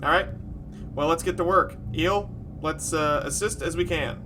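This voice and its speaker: A man's voice: a little high, moderate pace, music in the background. A man playing a tabletop roleplaying game, speaking casually in character as a commanding officer. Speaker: player acting as a starship commander.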